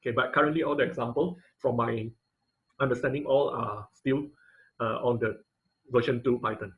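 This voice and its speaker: A man teaching an informal online class and taking questions, speaking haltingly and often repeating phrases as he searches for words. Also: mid-range voice, fairly even intonation, muffled recording. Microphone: online video-call audio.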